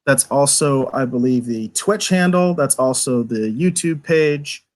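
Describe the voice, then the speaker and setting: A man's voice: medium pitch, a little slow, darkish tone. A man co-hosting a livestream in a casual, conversational style. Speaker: podcast co-host. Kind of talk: casual livestream chat, reading viewer comments.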